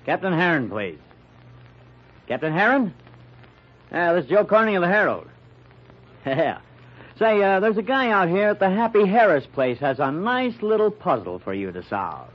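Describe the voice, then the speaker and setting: An actor playing a brash, wisecracking newspaper reporter in an old-time radio mystery, with blunt, slangy, sardonic delivery. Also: male voice, high-pitched, very animated, moderate pace, pauses often, lo-fi sound. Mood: wry, confident.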